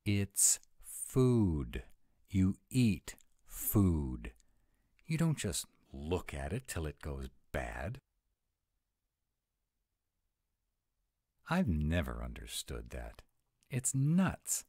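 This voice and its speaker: Man acting a very deep-voiced, grumpy were-dragon. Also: wildly swooping pitch, dark tone, breathy edge, no room sound, studio quality, exaggerated voice. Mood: indignant. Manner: fussy, exasperated, drawn-out emphatic phrasing. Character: grumpy, rule-bound male were-dragon, exasperated tsundere boyfriend. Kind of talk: roleplay audio acting.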